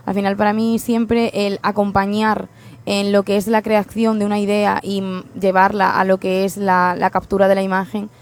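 Woman, fashion photographer guest, earnest and measured, flat delivery. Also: mid-range voice. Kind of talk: radio interview.

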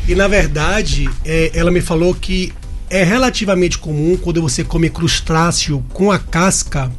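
Man, talk show host, chatty, higher pitch, faint music behind. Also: measured pace. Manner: chatty, anecdotal, casual. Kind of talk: hosting radio health talk.

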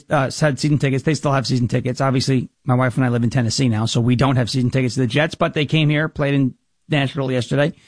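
An American man with a raspy voice from New York, hosting a talk radio show in an emphatic, jokey way. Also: a little high, dry recording, studio quality. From New York, United States. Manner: emphatic, jokey, slips into impression bits. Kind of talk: political talk show monologue with comic bits.

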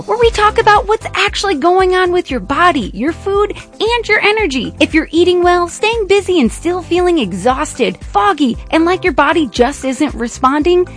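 High, upbeat woman in a radio promo. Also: sing-song, normal speed, hardly any pauses, soft background music. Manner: upbeat, reassuring. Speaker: wellness show host in an ad.

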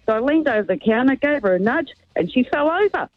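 A woman's voice: high voice, expressive, fast talker, music in the background. A woman telling a chatty joke in a replayed clip. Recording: dull lo-fi clip.

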